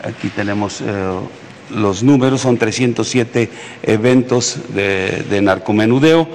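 A middle-aged man with a Mexican accent gives a formal briefing as an official, haltingly and with frequent hesitations. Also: on the low side. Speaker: military official giving a security briefing. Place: large indoor hall at a press conference. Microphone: podium microphone. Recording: echoey hall with background noise.

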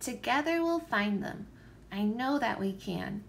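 A woman's voice: on the high side, expressive, unhurried, creaky, slight background noise. A woman voicing a plucky, cheerful little gingerbread man in a children's storybook, with bouncy, determined rhyming delivery.

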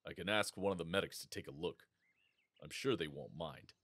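A man's voice: low-pitched, brisk, a bit bright, husky, no room sound, studio quality, full character voice. An actor playing a stern, suspicious male army sergeant in a full-cast audiobook, speaking curtly and coldly. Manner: curt, cold, commanding.

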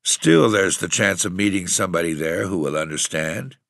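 A weary gentleman tramp, as a male narrator voices him, grim and bitter. He has a deep, gravelly sound with a bright edge. The recording is dry and close. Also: lively intonation, normal speed, dry recording, exaggerated voice.

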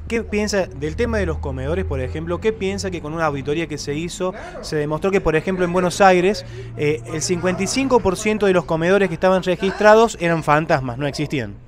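A man's voice: high-pitched, fast. A man with an Argentine accent, a street reporter asking long, argumentative leading questions. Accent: Argentine Spanish. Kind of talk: pointed interview questions. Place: city street outdoors.

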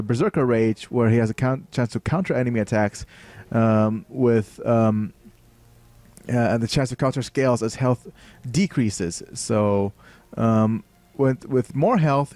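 A man's voice: mid-range voice, a little mellow, no echo. A young man from Thailand with a light Thai accent, streaming and explaining a game with many fillers and restarts. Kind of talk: live game commentary.

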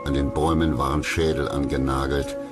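A man's voice: extremely low pitch, little pitch movement, fairly quick. A man narrating a documentary from a script in a solemn, dramatic storytelling manner. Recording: music loud behind the voice. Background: loud background music.

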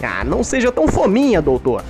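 A man's voice: high-pitched, huge pitch swings, a little fast, music in the background, exaggerated voice. A young man playing a wisecracking, breezy superhero, casual and joking, in a fan dub of a video game. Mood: teasing.

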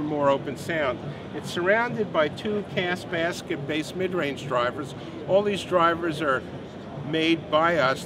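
A man in his sixties explaining a product in an interview, unscripted, enthusiastic and emphatic. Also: a little high. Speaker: company president, product designer being interviewed. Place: busy indoor exhibition booth. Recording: noisy trade show floor.

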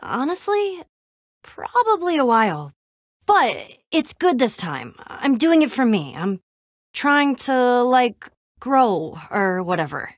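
Casting: scrappy young game heroine, high-pitched and very animated, sarcastic and plain-spoken. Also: female voice, slow pace, no echo, studio quality. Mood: earnest, hesitant. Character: scrappy, wry young female adventurer in a blocky adventure game. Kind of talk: video game voice acting.

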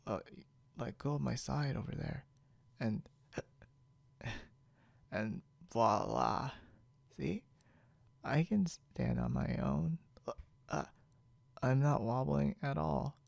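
Man playing a drunk young man, heavy gravelly fry, very slow halting delivery, stubborn and muddled, ASMR roleplay. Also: medium pitch, animated, darkish tone, dry recording, full character voice. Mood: stubborn, tipsy. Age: young adult. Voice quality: gravelly, creaky. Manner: halting, rambling, mumbled drunk speech with repeated words. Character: tipsy, stubborn, boastful, confused young man.

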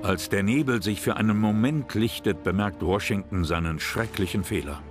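A man giving calm, measured scripted narration for a history documentary. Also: on the low side. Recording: voice-over with music bed.

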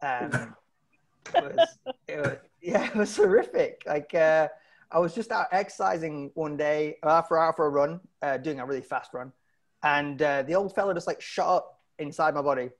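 A British man in his thirties doing a halting, self-deprecating stand-up comedy set over a video call from home, on earphone mic. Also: a little high, expressive. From Britain. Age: 30s. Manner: halting, self-deprecating, often chuckling at his own lines. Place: indoor room at home. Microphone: wired earphones on a video call.

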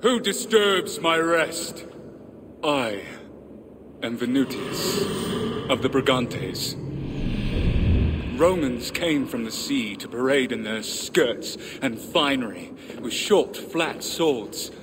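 Echoing, vengeful warrior ghost. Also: male voice, mid-range voice, lively intonation. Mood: menacing, scornful. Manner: theatrical, bitter, drawn-out delivery. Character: bitter, vengeful ghost of a betrayed ancient British warrior. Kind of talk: dramatic video game monologue. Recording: long cavernous reverb, clear ambient noise.

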